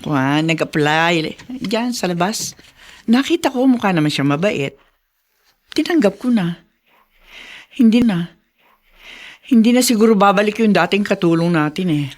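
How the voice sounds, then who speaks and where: Radio drama role: well-off lady of the house, played by a woman, kindly, assertive, very lively intonation. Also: mid-range voice, normal speed, pauses often, natural voice. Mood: coaxing. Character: kindly, trusting, well-off wife of a town mayor. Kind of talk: Tagalog radio drama acting.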